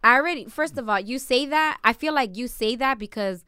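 A woman's voice: fairly high pitch, clean tone, dry recording, clean studio sound. A young woman from New Jersey, co-hosting a casual chat with her partner, protesting and interrupting with a lot of energy. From New Jersey, USA. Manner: animated, quick to interrupt and protest. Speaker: podcast co-host. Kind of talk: casual couple banter.

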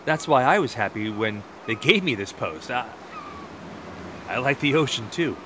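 Man acting a proud, argumentative guard, swinging pitch. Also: animated, moderate pace, noisy background, close to an everyday voice. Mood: defensive. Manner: emphatic, argumentative. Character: proud, defensive male border wall guard. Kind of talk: one-act audio play acting.

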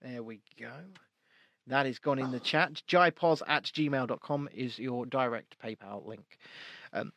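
A man's voice: medium pitch, unhurried, fairly bright tone, dry recording. A man co-hosting a casual live-stream podcast, chatty and jokey, rambling through restarts. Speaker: podcast co-host.

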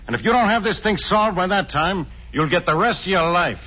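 An actor playing a blustering, stubborn police inspector in a curt 1940s radio drama manner, on a muffled old recording. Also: male voice, high-pitched, animated, brisk, husky. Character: gruff, gloating, stubborn male police inspector.

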